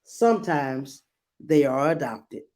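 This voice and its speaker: A woman reading a children's picture book aloud expressively and gently, adding small asides as she goes. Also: sits low, animated. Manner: gentle, unhurried, adding commentary to listeners.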